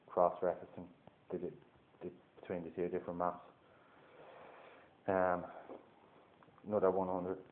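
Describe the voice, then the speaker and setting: A man with a Dublin Irish accent, talking casually to camera in a halting way, with lots of fillers and restarts. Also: deep voice, a little hiss or hum. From Dublin, Ireland. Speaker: gold prospecting vlogger. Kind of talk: casual instructional talk to camera. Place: indoors at a table. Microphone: phone camera. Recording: tinny lo-fi phone recording.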